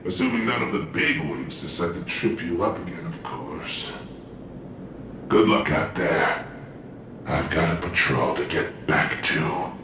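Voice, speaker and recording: A man's voice: extremely low pitch, a little slow, noisy background. A man voicing a breezy, dutiful young pilot escort in a video game, chatty and easygoing. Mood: brisk, easygoing. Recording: very echoey, distant, music behind.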